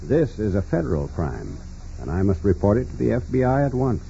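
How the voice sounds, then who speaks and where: An actor playing a dignified, formal judge in a 1940s radio drama, speaking in a measured, courteous and hesitant way. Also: male voice, on the low side, big pitch swings, gravelly, mellow. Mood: reassuring, firm. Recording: muffled, noisy 1940s radio recording.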